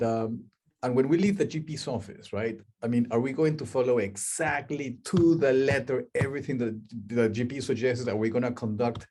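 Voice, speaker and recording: A man's voice: medium pitch, darkish tone, husky. A middle-aged man from Peru with a Peruvian Spanish accent, giving a chatty webinar talk on a video call and asking the audience questions. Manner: chatty, asks the audience questions, many restarts. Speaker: guest speaker, life coach.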